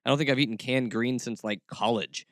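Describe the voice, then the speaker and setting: A man's voice: medium pitch, brisk, a bit bright, no echo. Dry-miked male podcast host with wry asides. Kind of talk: casual talk show commentary.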